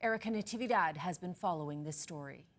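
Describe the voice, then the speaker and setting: A woman's voice: fairly low pitch, quick pace. A woman with a Canadian accent anchoring a news broadcast.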